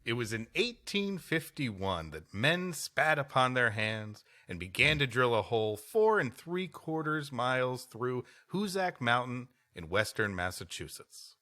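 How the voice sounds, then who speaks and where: A man's voice: medium pitch, big pitch swings, unhurried, hardly any pauses, bright, forceful tone. A man co-hosting a live podcast, bantering and reading stories aloud in a mock-announcer manner. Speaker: podcast co-host.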